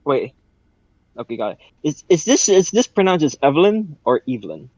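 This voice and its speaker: A man's voice: a little high, sing-song, normal speed, a little mellow, no room sound. A man chatting casually in a group voice call on his phone, rambling a little, apologizing and repeating himself. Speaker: reader in a group fan fiction readalong. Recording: dull, lo-fi phone mic.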